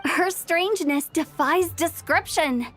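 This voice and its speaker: A woman's voice: very high voice, slow, a bit bright, soft background music, full character voice. A woman voicing an inquisitive, suspicious young woman in an anime video game, blunt and questioning. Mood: skeptical.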